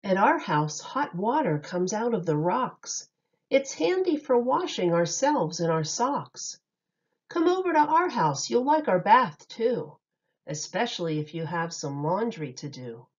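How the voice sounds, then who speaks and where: A woman reads a children's rhyming story at an unhurried pace. Her pitch swings in a lively sing-song, with each phrase set out clearly for young listeners. The sound is slightly dull and lo-fi. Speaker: teacher reading a picture book aloud.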